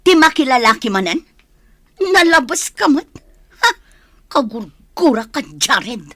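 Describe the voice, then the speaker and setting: An aggrieved young Ilocano wife in a radio drama. Her high voice is reproachful and defiant, pleading her case, recorded dry with no room sound. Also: big pitch swings, measured pace, a bit bright, dry recording, exaggerated voice. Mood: angry.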